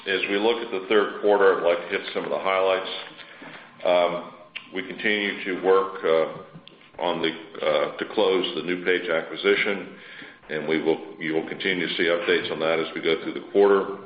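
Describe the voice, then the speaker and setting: A man with a deep voice gives a business update in a halting, businesslike way. He sounds like a company executive presenting on a conference call. The audio is echoey and a little muffled. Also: steady tone. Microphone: conference call line.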